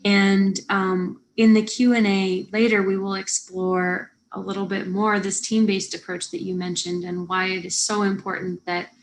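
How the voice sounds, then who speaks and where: A woman's voice: mid-range voice, level tone, moderate pace, few pauses, a little hiss or hum, rough recording. A woman hosting a live science event, speaking as a moderator who introduces speakers.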